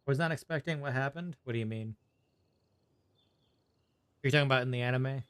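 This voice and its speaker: A man's voice: mid-range voice, a little fast, a bit bright, clear, resonant tone. A man giving casual, offhand live commentary as he plays a video game, muttering short reactions. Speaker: gaming streamer.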